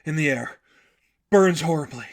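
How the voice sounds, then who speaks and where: A man's voice: a little high, normal speed, vocal fry, voice pushed up. A young woman vigilante, as a man reads her speaks with restraint. Her phrases are short and clipped, resolute yet tired, in the manner of an audiobook drama. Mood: calm, firm. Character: calm, terse young woman vigilante, exhausted but resolute.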